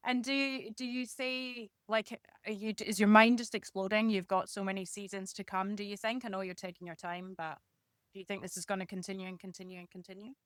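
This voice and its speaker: A woman's voice: mid-range voice, steady tone, brisk, smooth, dry recording, studio quality. A woman hosting a casual podcast interview in an encouraging, affirming manner. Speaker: podcast host.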